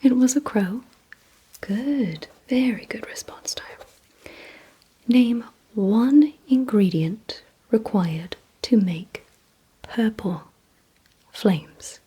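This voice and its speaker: A woman doing an ASMR roleplay, mostly in a breathy whisper, giving gently commanding instructions in a deliberate manner. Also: a little high, poor audio. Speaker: ASMR creator playing a vampire giving memory and attention tests.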